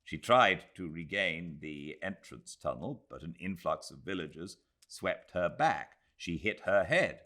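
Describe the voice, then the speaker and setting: British guy reading a classic novel, deep voice, really animated narration. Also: a little slow, few pauses. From Britain. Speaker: audiobook narrator. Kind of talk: expressive literary narration.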